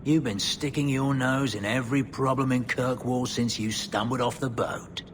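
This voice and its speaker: An actor playing a jaded, sardonic, down-and-out former knight in a video game, with wry colloquial talk. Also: male voice, medium pitch, steady tone, moderate pace, noisy background, close to an everyday voice. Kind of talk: dramatic video game dialogue.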